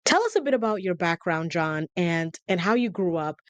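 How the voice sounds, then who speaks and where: A woman's voice: lowish voice, animated, moderate pace, no echo. A woman hosting a podcast, delivering scripted introductions and questions in a polished, articulate presenter's manner. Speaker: podcast host, communication coach.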